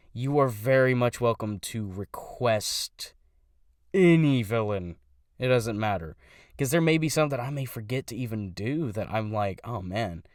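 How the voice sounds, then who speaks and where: A man's voice: lowish voice, no echo, clean studio sound. A young man who does voice impressions, talking casually about his channel in a rambling way, stopping to correct himself.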